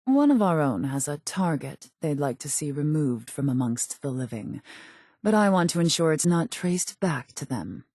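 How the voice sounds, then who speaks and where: An actress voicing a cold, calculating raider gang leader in a video game, composed and formal, with measured, quietly menacing delivery. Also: female voice, deep voice, big pitch swings, husky, dry recording, clean studio sound, exaggerated voice. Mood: curt, commanding. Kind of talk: video game character voice acting.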